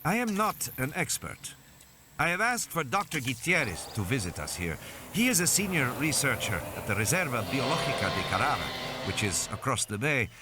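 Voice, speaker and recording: Man acting out a formal, careful doctor, pitch raised. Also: sing-song, normal speed, noisy background, lightly acted. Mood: measured, formal. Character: careful, formal male hospital doctor in Costa Rica.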